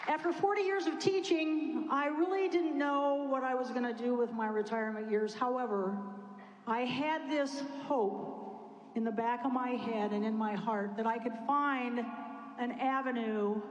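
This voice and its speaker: A woman in her sixties from Iowa, a retired teacher telling her story to a panel with lively acted-out quotes. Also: a little high, a little slow, slight background noise. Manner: animated storyteller, acts out quotes. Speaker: panel speaker. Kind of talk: personal story at a public event. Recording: echoey hall with long reverb.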